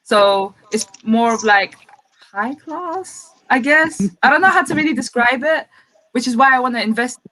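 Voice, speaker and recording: A woman's voice: high voice, lively intonation, normal speed, pushed and bright, rough recording. A woman in her twenties from Greece, a guest chatting casually and laughing often. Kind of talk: casual chat.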